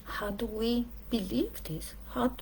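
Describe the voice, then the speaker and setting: Female speaker, for a reflective spiritual talk; unhurried delivery in short weighted phrases, gravelly creak in the voice. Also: on the high side, darkish tone, a little hiss or hum, poor audio. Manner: deliberate, emphatic phrasing in short pieces with pauses.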